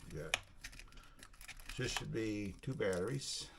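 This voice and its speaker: Male vlogger, low-pitched, folksy and casual, unboxing narration over heavy background noise. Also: takes it slowly. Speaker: video channel host. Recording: clearly noisy recording with clicks.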